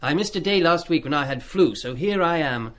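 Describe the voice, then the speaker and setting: A man's voice: on the high side, expressive, a little fast, a bit bright. An actor playing an eager, cheeky British schoolboy in a radio play, chatty and indiscreet but always polite to his elders. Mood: aggrieved. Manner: chatty, deferential with schoolboy slang.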